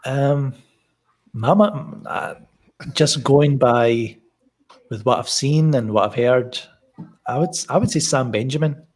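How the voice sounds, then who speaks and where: A man with a soft Scottish accent chats as a guest on an online show. His voice sits in a plain middle range, and he often hesitates and repeats himself. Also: medium pitch, slow pace, long pauses. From Scotland. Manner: hesitant, many fillers and repeats. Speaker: guest panelist. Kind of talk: casual online show chat.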